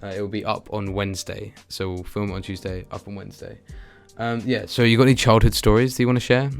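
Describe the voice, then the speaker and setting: A man's voice: on the low side, flat delivery, fast, vocal fry. A young man hosting a podcast, chatting casually and laid-back into a close desk microphone. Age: young adult. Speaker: podcast host. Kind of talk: casual podcast interview chat. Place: small dim room at home. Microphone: close desk microphone with headset. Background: soft guitar music.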